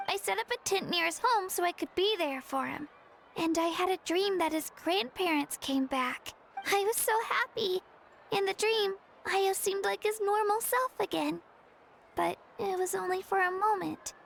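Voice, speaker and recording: A woman playing a gentle, earnest young girl in an anime game, with a girlish voice. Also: extremely high pitch, gravelly, slight background noise, heavily acted. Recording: faint background ambience.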